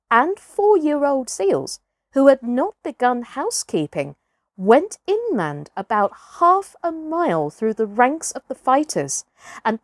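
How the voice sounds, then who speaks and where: A woman reading a children's story aloud in an expressive, theatrical way, with deliberate phrasing. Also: a little high, dry recording, studio quality. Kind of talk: expressive scripted children's audiobook narration.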